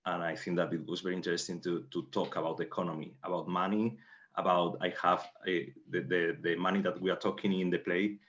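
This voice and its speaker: A low-voiced man with a Spanish accent answers as a playwright in a panel Q&A. His English is halting and second-language, his pitch stays very level, and the audio is slightly dull. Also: little pitch movement, a bit bright. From Spain.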